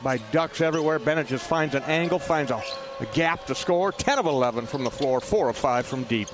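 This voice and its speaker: A man's voice: fairly high pitch, brisk. A man giving color commentary as an analyst on a live basketball radio broadcast. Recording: loud arena noise behind courtside commentary. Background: ball bounces and gym ambience.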